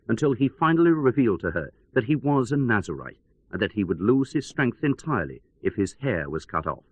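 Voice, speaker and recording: A man's voice: lowish voice, slightly rough, dry recording, muffled recording. A man playing a radio news correspondent, telling events as a dramatic, wry and emphatic report. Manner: wry, theatrical, emphatic storyteller.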